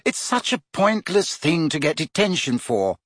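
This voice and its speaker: A man voicing a sensible, brisk, impatient teenage girl for an audiobook. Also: fairly high pitch, very animated, fairly bright tone, husky, no echo, clean studio sound, pitched above the performer's own voice, exaggerated voice. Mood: cautious reasoning. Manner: brisk, reasoning.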